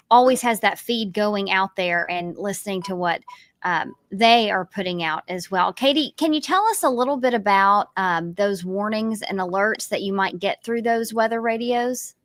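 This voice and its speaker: A woman's voice: medium pitch, dry recording. A woman presenting a live local TV news segment as the anchor.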